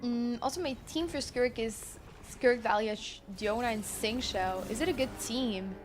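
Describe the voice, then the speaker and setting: A woman streams a video game, chatting casually and reacting with gushing exclamations. Her voice sits fairly high, and soft game music plays underneath. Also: measured pace. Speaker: livestream gamer.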